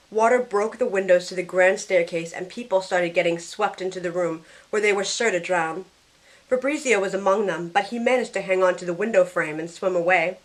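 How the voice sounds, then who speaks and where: A woman performs a young shipwreck survivor in a fan-made audio drama. She tells her story in the first person, earnest and grieving, at a middle pitch. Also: natural voice, low-quality recording. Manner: earnest storytelling, tearful in dialogue.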